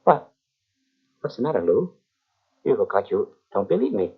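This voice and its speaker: A man playing a panicking, double-crossing gangster in an old radio drama, stammering and rising to shouts. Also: lowish voice, very animated, moderate pace, slightly rough, exaggerated voice. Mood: nervous. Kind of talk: 1940s radio acting. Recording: muffled old radio tape.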